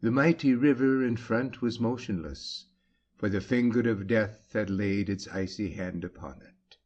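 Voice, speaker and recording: Slow, deep-voiced male audiobook narrator, muffled. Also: a little mellow. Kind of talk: dramatic scripted audiobook narration.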